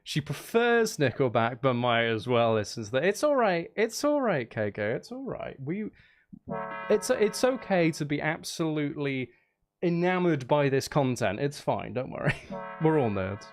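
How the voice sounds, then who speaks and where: A man's voice: a little high, big pitch swings, normal speed. A man chatting casually on a live stream as he makes music, geeky and playful, thinking aloud in a rambling way.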